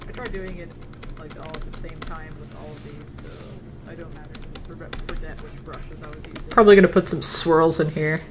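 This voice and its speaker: A young woman with a low voice walks viewers through her painting in a loose, rambling way. The recording carries a steady wash of background noise, with her speech repeating over itself. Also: normal speed. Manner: casual, thinking aloud while painting. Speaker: digital painting instructor. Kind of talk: live-stream painting tutorial.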